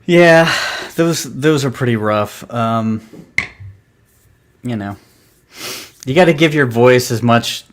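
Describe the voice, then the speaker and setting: A man's voice: slow, long pauses, a little hiss or hum. A man, a comic writer and artist, chatting as a guest on a live stream, storytelling in a loose, rambling way full of fillers and restarts. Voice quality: describes his own voice as high. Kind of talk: casual live-stream interview.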